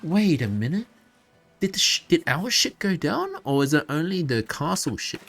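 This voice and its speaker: A man's voice: a little high, sing-song, moderate pace, creaky. A man giving casual live playthrough commentary as a game streamer, thinking aloud informally.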